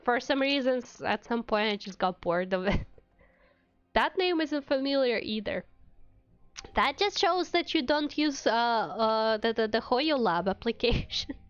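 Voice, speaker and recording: Clear, higher-voiced woman streamer chatting casually. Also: animated, measured pace, dry recording, highs cut off. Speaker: game streamer, VTuber. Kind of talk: casual livestream chat over a game.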